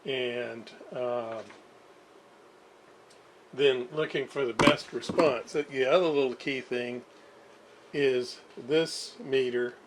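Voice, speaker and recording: Slow-talking man, noisy recording. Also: medium pitch, steady tone. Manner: halting, with pauses and self-deprecating asides. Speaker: host of radio repair videos. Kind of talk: casual unscripted workbench commentary. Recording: clear background noise.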